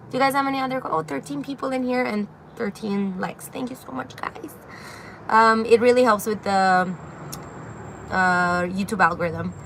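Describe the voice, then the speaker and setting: A young woman from Syria hosting a casual livestream Q&A, chatting loosely and reading viewer comments aloud, filling pauses with frequent ums and likes. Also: medium pitch, fairly bright tone, a little hiss or hum. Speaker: livestream host. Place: outdoor balcony with greenery. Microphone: phone camera at arm's length.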